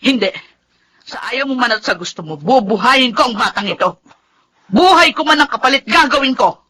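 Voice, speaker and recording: A woman's voice: fairly high pitch, lively intonation, moderate pace, pushed and bright, a little hiss or hum. A woman playing a resolute, protective Filipina wife and mother in a radio drama, earnest and emphatic. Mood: defiant.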